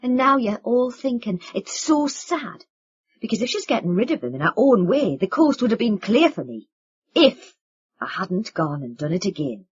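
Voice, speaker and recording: Angry young man played by a woman narrator, loud and cutting, in a dull recording. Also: medium pitch, big pitch swings, moderate pace, husky. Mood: sarcastic. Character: bitter, furious young man accusing his family. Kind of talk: audiobook character reading.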